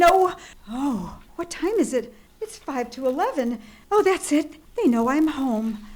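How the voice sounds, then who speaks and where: An actress plays a frantic wife confined to her bed in an old-time radio thriller. Her voice sits fairly high. She is agitated, halting and imperious, a woman badly on edge. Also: a little mellow. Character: frantic bedridden wife, nervous, demanding and alone. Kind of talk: 1940s radio suspense acting, performed live on stage.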